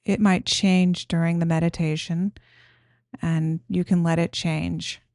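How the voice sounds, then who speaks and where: A woman guiding a meditation in a gentle, soothing, unhurried manner, giving simple instructions. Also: fairly low pitch, flat delivery, no echo, clean studio sound. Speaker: meditation guide.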